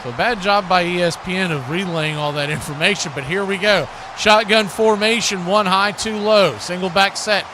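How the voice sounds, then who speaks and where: High-pitched man calling football, noisy stream. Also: normal speed, hardly any pauses. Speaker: play-by-play commentator. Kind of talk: live American football commentary.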